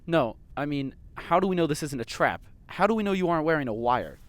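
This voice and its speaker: A man's voice: fairly high pitch, lively intonation, a little fast, natural voice. An actor playing a skeptical, sarcastic young male reporter in an audio drama. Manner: dry, sarcastic.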